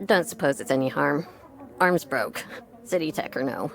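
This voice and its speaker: Salty rural woman, low-pitched, creaky, animated, folksy, wry, audio drama. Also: moderate pace. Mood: grumbling. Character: blunt, salty small-town woman in a post-apocalyptic world.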